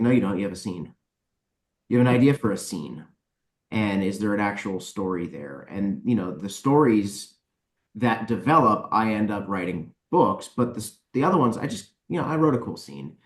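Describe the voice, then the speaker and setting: Low-voiced man in a roomy space. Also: lively intonation, a little fast, fairly bright tone. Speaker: podcast guest, author and publisher. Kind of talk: casual panel discussion. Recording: roomy echo on voice.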